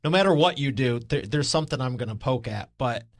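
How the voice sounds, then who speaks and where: A man of average pitch hosts an interview in a conversational style. He works through his counterpoints haltingly, restarting as he goes. The recording is close and very dry. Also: animated, no room sound. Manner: halting, thinks aloud while challenging the guest. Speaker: podcast host.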